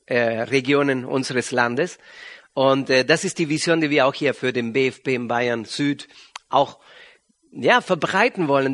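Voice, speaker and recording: A middle-aged man with an Argentine accent and native Spanish, speaking haltingly to a congregation as a visiting pastor. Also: fairly high pitch, fairly bright tone. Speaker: visiting pastor and regional church leader. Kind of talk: sermon introduction to a congregation.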